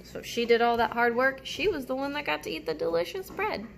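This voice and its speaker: Creaky, higher-voiced woman reading to children. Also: animated, fairly quick, a bit bright, slight background noise. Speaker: teacher reading a picture book aloud to children. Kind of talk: storybook read-aloud with asides to young listeners.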